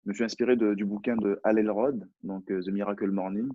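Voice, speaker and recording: A man's voice: fairly low pitch, fairly even intonation, brisk, dry recording, phone-quality sound. A French man, a personal development coach, talking in a live online chat, hesitant and often repeating words. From France.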